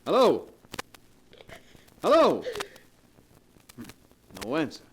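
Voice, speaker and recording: An actor playing a suspicious, demanding husband, forceful and clipped, in 1940s radio melodrama style. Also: male voice, a little high, huge pitch swings, very slow, exaggerated voice. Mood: angry, wounded. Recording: noisy old 1940s radio recording.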